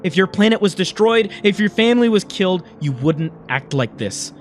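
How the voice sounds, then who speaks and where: Man playing a bitter, animated young man. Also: sits high, moderate pace, faint music behind. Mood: bitter despair. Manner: intense, emotional. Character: bitter, insecure young human man, angry and self-loathing.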